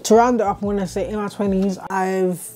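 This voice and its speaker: A woman's voice: medium pitch, steady tone, fairly quick, mellow, soft background music. A woman in her twenties chatting casually at home, thinking aloud with lots of "like" fillers and restarts. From Britain. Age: 20s. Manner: reflective, talks in long runs with many "like" fillers and restarts. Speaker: YouTuber host. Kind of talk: casual chat. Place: bedroom, indoors.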